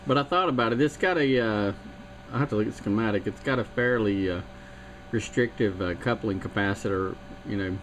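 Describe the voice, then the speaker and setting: A man's voice: fairly low pitch, fairly quick, slight background noise, poor audio. Chatty man on a staticky CB radio. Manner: rambling, technical, chatty. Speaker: CB radio operator. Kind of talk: casual radio chat.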